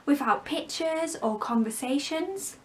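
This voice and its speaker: A woman voicing a polite, curious young girl who talks in a bright, wondering way. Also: sits high, lively intonation, measured pace, voice pushed up.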